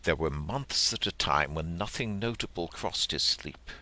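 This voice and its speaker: A man reading a literary story as an audiobook narrator, in a measured, expressive, dramatic manner. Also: low voice.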